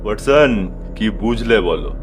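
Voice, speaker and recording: An actor playing a shrewd, composed male detective in an audio drama, probing and musing in a measured way. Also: lowish voice, lively intonation, over music.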